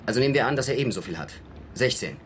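Man playing a fast-talking dutiful young lieutenant. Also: medium pitch, lively intonation, a little hiss or hum, natural voice. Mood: dutiful reporting. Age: young adult. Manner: deferential, matter-of-fact. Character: dutiful, frank young American army lieutenant, aged twenty-six. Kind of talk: radio drama acting.

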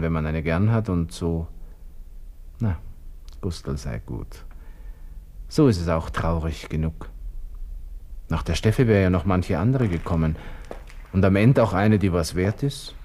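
Deep-voiced brooding Viennese lieutenant. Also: male voice, lively intonation, unhurried, long pauses, darkish tone. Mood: wry brooding. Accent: Austrian, Viennese. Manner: muttering to himself, shifting abruptly from musing to exclaiming. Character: young Viennese army lieutenant, vain, agitated, brooding on death. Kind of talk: radio drama interior monologue.

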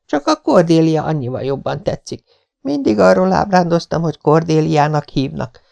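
Anne, a man putting on a high dramatic girl. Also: lively intonation, vocal fry, darkish tone. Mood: pleading. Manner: pleading, theatrical. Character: young orphan girl, dreamy, dramatic, tearful. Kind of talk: audiobook reading.

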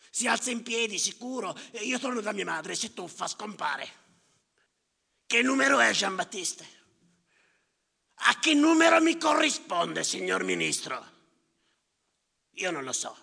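Gravelly, ranting, bitter general. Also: male voice, sits high, very animated, unhurried, pauses often, bright, forceful tone, full character voice. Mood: frantic, exasperated. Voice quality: gravelly, rasping. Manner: sardonic, declamatory, repeating phrases. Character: bitter, ranting general who tallies the drowned. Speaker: stage actor performing a monologue. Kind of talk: theatrical radio monologue. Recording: reverberant theatre hall.

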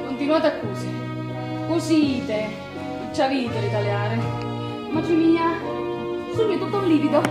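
Battered Sicilian wife, stage drama, high voice, bitter and defiant, roomy sound with music. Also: female voice. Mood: evasive, flustered. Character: battered younger Sicilian sister, newly widowed, bitter, defiant. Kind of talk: Sicilian dialect stage theatre acting.

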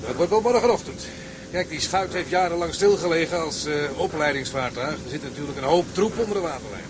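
An actor playing a down-to-earth boatswain in a radio drama, with a wry, blunt way of talking. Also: male voice, on the high side, animated, fairly quick, noisy background, close to an everyday voice. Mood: wry, brisk. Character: down-to-earth male boatswain, wry and matter-of-fact.

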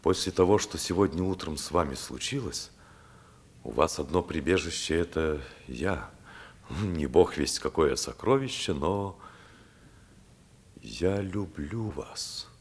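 An actor playing an ardent, self-reproaching idealistic politician in a theatrical radio play, moving between hushed and declamatory delivery. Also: male voice, rumbling low, lively intonation, a little slow, exaggerated voice. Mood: resigned, ardent. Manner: dramatic, swinging from hushed to declamatory. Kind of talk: theatrical Russian radio drama acting.

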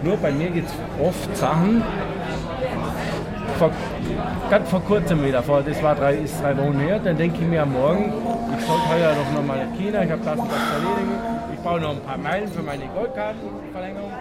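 A man's voice: on the high side, a little fast. A man chatting and joking casually as a bar patron, rambling and restarting his sentences. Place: noisy bar or pub. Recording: noisy bar with loud music and crowd.

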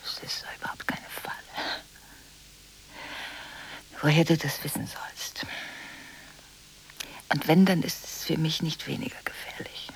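An actress playing a volatile, emotionally contradictory married woman making frantic phone calls in a radio drama, swinging abruptly between hushed and agitated delivery. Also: deep voice, steady tone, measured pace, creaky, long pauses, whispered, rough recording. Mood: coaxing, secretive. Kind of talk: 1980s German radio drama monologue.

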